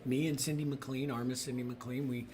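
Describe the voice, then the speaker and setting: A man's voice: medium pitch, little pitch movement, normal speed, heavy background noise. Friendly, talkative man, racer and sponsor. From New York City.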